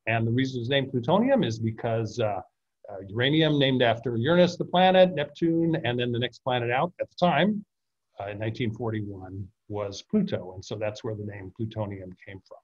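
A man's voice: medium pitch, moderate pace, breathy edge. A middle-aged man from the United States giving an author's talk over a video call, explaining with slides and frequent fillers and restarts. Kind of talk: illustrated slide presentation. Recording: dull lo-fi video call audio.

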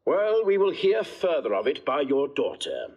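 Theatrical, husky, high-voiced scheming prince. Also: male voice, big pitch swings, normal speed, a little mellow, muffled recording. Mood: playfully scheming. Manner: theatrical, mock-earnest, courtly. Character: genial scheming prince, staging talk to be overheard. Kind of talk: classical Shakespearean stage acting.

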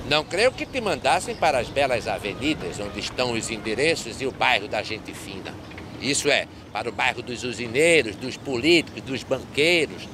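An actor playing a cynical, complaining gravedigger in an animated film, speaking emphatic verse. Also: male voice, medium pitch, normal speed, background noise, natural voice. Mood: sardonic. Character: grumbling male cemetery gravedigger, cynical and worn-down.

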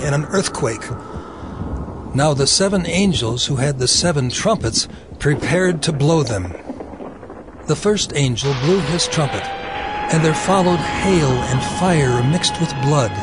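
A man narrating dramatized scripture in a solemn, measured storytelling manner. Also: on the high side, background noise. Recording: music behind the voice.

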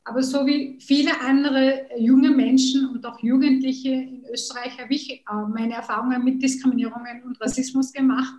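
A woman whose mother tongue is Kurdish speaks earnestly over a video call, pausing often to find her words. Her voice sits high and soft-toned. The sound carries the echo of a bare room. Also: level tone. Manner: earnest, many hesitations. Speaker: politician, interview guest. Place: room at home.

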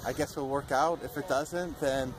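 Man vlogging over loud outdoor noise. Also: medium pitch, steady tone, moderate pace. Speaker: street evangelist and vlog host. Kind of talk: casual vlog talk and informal preaching. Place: outdoors in a park and by a beach. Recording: very noisy outdoor camera audio.

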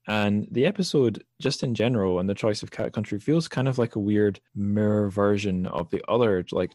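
A man with a fairly low voice talks as a podcast host. His delivery is informal and rambling, with a self-deprecating streak. The sound is dry and close. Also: a little mellow, no echo. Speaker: podcast host interviewing a guest. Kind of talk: casual, rambling podcast talk.

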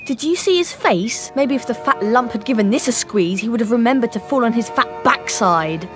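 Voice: medium pitch, lively intonation, moderate pace, a bit bright, soft background music, lightly acted. An actor playing an arrogant British schoolboy wizard in a video game, taunting and haughty. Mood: mocking. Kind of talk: video game cutscene acting.

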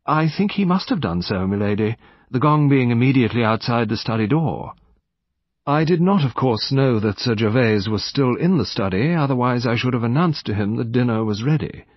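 A man's voice: medium pitch, expressive, moderate pace, dry recording, lo-fi sound, close to an everyday voice. Man as a stately, deferential English butler. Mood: deferential, composed. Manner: deferential, precise, pompous. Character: formal, dignified, well-trained English butler.

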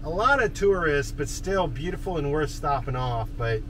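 A man's voice: fairly high pitch, lively intonation, moderate pace. Easygoing man chatting from a driver's seat. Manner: easygoing, drops in Spanish words. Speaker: travel vlogger. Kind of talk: casual travel vlog chat. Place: camper van driver's seat. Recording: road and engine noise behind.

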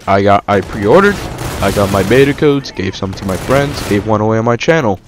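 Young man, low voice, rambling game review, lots of ums, faint gunfire behind. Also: animated, moderate pace, background noise. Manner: rambling, hesitant, many fillers and restarts. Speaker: gaming YouTuber. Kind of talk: casual game review over gameplay.

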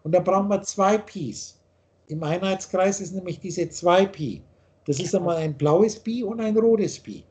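A man's voice: high voice, a little slow, darkish tone. An older man on a video call from a home office, lecturing insistently on spiritual ideas and gesturing as he talks. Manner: insistent, gestures a lot while holding forth. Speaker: spiritual teacher. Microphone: video call webcam.

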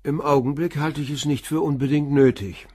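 An actor playing a calm, probing police inspector in a radio crime drama, with a husky voice and a deliberate, matter-of-fact manner. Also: male voice, fairly high pitch, no room sound, studio quality.

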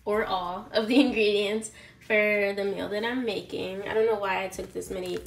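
A woman's voice: mid-range voice, fairly even intonation, moderate pace, vocal fry, slight background noise. A woman in her twenties from California, chatting casually through her day in a vlog, rambling with lots of fillers, filmed on a phone at arm's length in a small apartment. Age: 20s. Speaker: lifestyle vlogger. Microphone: phone camera held at arm's length.

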